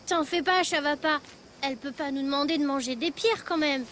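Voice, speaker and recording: A woman's voice: sits high, a little fast, heavy background noise, lightly acted. A woman voicing a curious, cheerful young child adventurer in an educational cartoon, with an eager, reassuring manner. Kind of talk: animated children's cartoon dubbing. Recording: music behind the voice.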